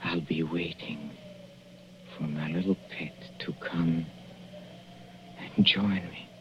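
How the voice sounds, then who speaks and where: Vengeful poisoned husband, radio horror. Low-leaning, halting, sweetly menacing, on a dull, noisy recording. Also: male voice, fairly even intonation. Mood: weakening, menacing. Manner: halting, drawn-out, mock-affectionate. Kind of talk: radio horror drama acting. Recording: muffled, noisy old radio drama recording.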